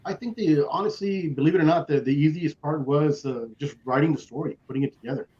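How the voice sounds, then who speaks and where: A man's voice: on the high side, fast talker, darkish tone. A man speaking as a panelist in a motivational way, emphatic and repeating himself for weight. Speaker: children's author, panelist. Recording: dull, lo-fi call audio.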